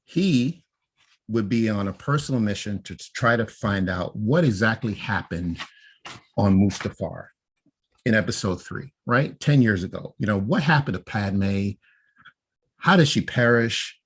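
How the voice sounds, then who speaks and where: A man's voice: fairly low pitch, expressive, unhurried, breathy edge. A man co-hosting a casual podcast, laying out his fan theories and often checking in with "right?". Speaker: podcast co-host.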